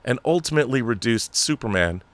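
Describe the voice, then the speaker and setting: A man's voice: medium pitch, lively intonation, a little fast, dry recording. A man hosting a film commentary show, talking in an emphatic, conversational way with asides. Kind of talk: casual commentary and reading an article aloud.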